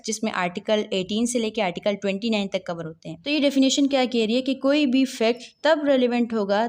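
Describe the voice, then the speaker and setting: A woman giving a law lecture, reading legal clauses slowly and then explaining them quickly, often asking questions and answering them herself. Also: a little high. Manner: reads legal text slowly, then explains in quick mixed Urdu-English.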